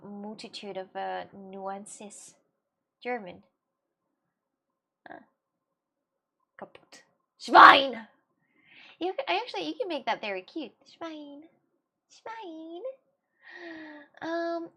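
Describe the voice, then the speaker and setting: A woman's voice: fairly high pitch, bright, forceful tone. Giggly rambling woman. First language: Russian. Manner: giggly, rambling, many fillers and restarts. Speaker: streamer chatting with viewers. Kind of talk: casual live-stream chat.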